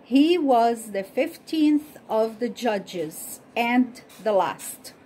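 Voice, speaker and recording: A woman whose first language is Arabic reads a Bible story aloud at an unhurried, deliberate pace. Her voice sits a little high, and she says each study question twice. A faint hiss runs under the recording. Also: mellow, low-quality recording. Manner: slow, careful reading, repeating each question.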